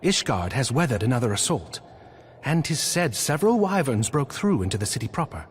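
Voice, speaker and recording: A man's voice: medium pitch, big pitch swings, a little fast, a little hiss or hum, close to an everyday voice. An actor playing a gracious, loyal nobleman knight in a video game, speaking in courtly, formal phrasing. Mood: grave, formal. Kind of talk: scripted video game dialogue.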